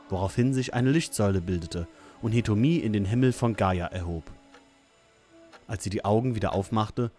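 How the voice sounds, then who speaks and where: A fairly low male voice reads scripted narration for an audio drama. Faint music plays underneath. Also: darkish tone.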